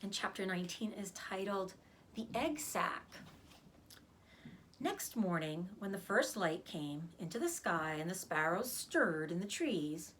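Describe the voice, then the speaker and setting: Highly expressive woman reading a storybook. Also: measured pace, slight background noise. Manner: expressive, careful phrasing. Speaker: read-aloud reader, teacher. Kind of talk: scripted storybook narration for children.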